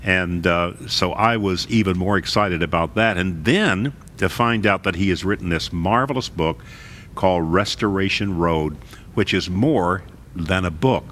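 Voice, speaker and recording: Man, talk show host, very low voice, earnest and enthusiastic, faint background hiss. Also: unhurried. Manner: earnest, warmly enthusiastic questioning. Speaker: television host.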